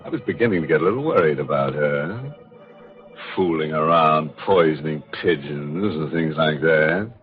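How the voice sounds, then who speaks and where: An actor playing a gleefully morbid, wisecracking horror host and narrator on 1940s radio, sardonic and darkly humorous. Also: male voice, a bass voice, lively intonation, moderate pace. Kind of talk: tongue-in-cheek 1940s radio horror hosting. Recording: dull 1940s radio recording, music behind.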